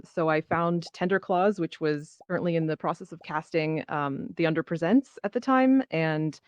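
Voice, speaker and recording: Woman, slightly low pitch, casual panelist talk, dry lo-fi sound. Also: no room sound. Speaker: panelist, virtual reality theater performer. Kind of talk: casual self-introduction. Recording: dull lo-fi online voice chat.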